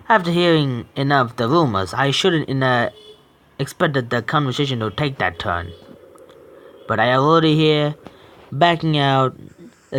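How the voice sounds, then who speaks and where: A young man reading a horror visual novel aloud, stumbling and correcting himself with quick apologies and reactions. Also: mid-range voice, bright, forceful tone, slight background noise.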